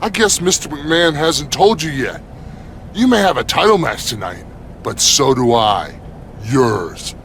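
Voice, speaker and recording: A man's voice: mid-range voice, animated, measured pace, fairly bright tone, slight background noise. A man playing a vengeful, gloating rival pro wrestler in a video game, mocking and taunting. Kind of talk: scripted video game cutscene acting.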